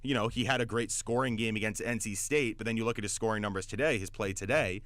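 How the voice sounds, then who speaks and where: A man's voice: fairly low pitch, fairly even intonation, quick pace, a bit bright, no echo. A man hosting sports talk radio, giving opinionated analysis emphatically and often repeating words as he gets going. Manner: emphatic, stammering repetitions. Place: radio studio.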